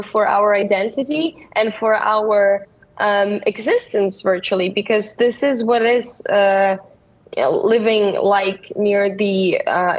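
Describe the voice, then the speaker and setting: Emphatic woman on muffled remote audio. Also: mid-range voice. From Ukraine. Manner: emphatic, with stammered restarts. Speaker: interview guest. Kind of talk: remote news interview.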